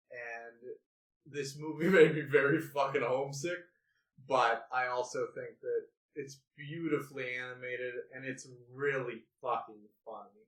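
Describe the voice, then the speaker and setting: A man's voice: mid-range voice, fairly bright tone. A man from Hawaii co-hosting a movie podcast, talking casually with informal, self-mocking swearing. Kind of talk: casual chat about favorite films. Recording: echoey room, distant from mic.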